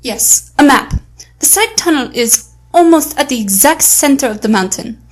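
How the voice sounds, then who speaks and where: An actress playing a self-assured, obedient infiltrator in an audio adventure drama, crisp and formal. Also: female voice, high voice, animated, moderate pace.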